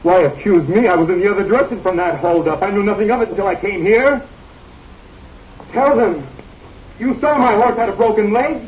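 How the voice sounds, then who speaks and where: A man's voice: high-pitched, a little fast, long pauses, slight background noise, close to an everyday voice. A man playing an indignant Spanish drifter. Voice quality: indignant, formal phrasing. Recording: muffled old film audio, distant mic.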